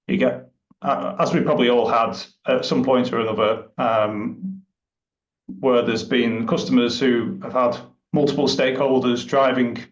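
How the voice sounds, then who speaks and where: A man from the UK with a British accent, presenting his software at a meetup over a video call, hesitant with many fillers and restarts. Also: medium pitch. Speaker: presenting developer. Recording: distant, roomy, dull sound.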